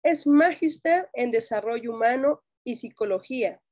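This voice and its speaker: A woman's voice: on the high side, animated, moderate pace, darkish tone, no room sound, clean studio sound. A woman with a Mexican Spanish accent formally and courteously introducing a guest speaker as the host of an academic event.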